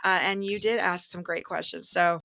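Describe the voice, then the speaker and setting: A woman's voice: lowish voice, steady tone, moderate pace, creaky, noisy background. A woman hosting a casual podcast conversation in an earnest, encouraging manner. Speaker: podcast host and interpreter.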